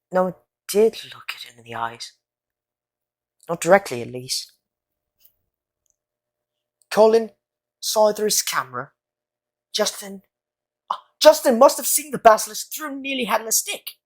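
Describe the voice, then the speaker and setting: A woman playing an earnest, quick-thinking boy wizard in an audio drama, speaking with deliberate conviction. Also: medium pitch, animated. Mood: urgent realisation. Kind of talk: fan audio drama acting.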